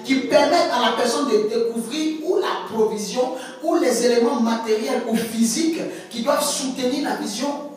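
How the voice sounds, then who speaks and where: A woman's voice: on the high side, moderate pace, darkish tone. A woman prophetess preaching a sermon, emphatic, repeating words and stretching key phrases. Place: church hall. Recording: echoey church hall, distant mic, faint music.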